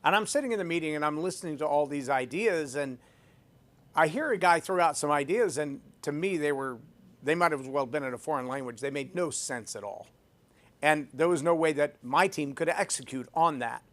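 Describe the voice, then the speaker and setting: Male host, leadership monologue, emphatic, slightly higher voice, repeats key lines. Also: brisk. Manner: emphatic, repeats phrases for effect; self-described loud voice. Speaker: podcast host. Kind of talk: leadership talk with personal story.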